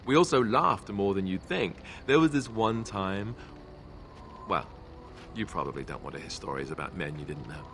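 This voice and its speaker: An actor playing an affable, boyish young warrior who talks in a conversational, sentimental way in a video game. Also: male voice, sits low, animated, moderate pace, a bit bright, slight background noise. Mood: friendly, reminiscing. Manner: conversational, self-interrupting storyteller. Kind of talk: video game dialogue acting.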